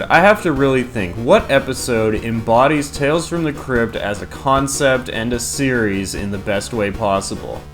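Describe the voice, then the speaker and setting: A man's voice: medium pitch, fairly bright tone. Male countdown host, casual and opinionated, profane and jokey, music underneath. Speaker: host of an entertainment countdown video. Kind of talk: casual, opinionated scripted review narration.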